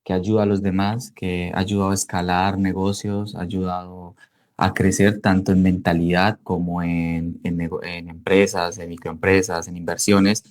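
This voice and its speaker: A man's voice: low-pitched, level tone, normal speed. A man in his twenties with a Colombian accent, telling his own story conversationally as an interview guest. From Cali, Colombia. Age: 20s. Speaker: interview guest, digital marketing entrepreneur.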